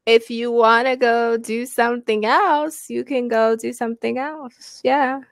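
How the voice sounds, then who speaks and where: A woman's voice: on the high side, fairly even intonation, no echo, clean studio sound. An American woman tutoring English over a video call, friendly and given to easy laughter. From United States. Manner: slow, friendly, laughs often. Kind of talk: casual video-call conversation.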